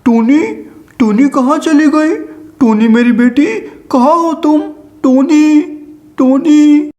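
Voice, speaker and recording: A caring cartoon papa bird, voiced by a man, with a notably high yet mellow voice. He is gentle and fatherly, speaking tenderly as a parent to a child. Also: takes it slowly. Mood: worried, calling. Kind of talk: Hindi cartoon voice acting.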